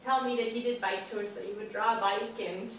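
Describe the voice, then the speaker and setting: A woman's voice: medium pitch, fairly even intonation, a little fast, slight background noise. A woman from Germany, describing her own experience in simple, step-by-step English. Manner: simple plain phrasing, explains step by step. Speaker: panelist. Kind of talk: personal account on a panel. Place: large hall. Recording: echoey hall, mic far off.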